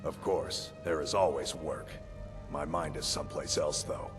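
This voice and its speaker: An actor playing an earnest, simple, brawny warrior in a fantasy game, blunt and plain-spoken in short sentences. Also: male voice, rumbling low, fairly even intonation, a little slow. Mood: solemn, earnest. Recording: reverberant hall, music behind. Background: game music.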